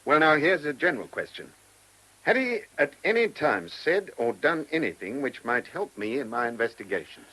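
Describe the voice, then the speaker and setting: An actor playing a probing, polite but insistent chief inspector with a British accent and a husky voice in a radio play. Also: male voice, medium pitch, very animated, moderate pace, bright, forceful tone, exaggerated voice, low-quality recording. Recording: old radio drama recording.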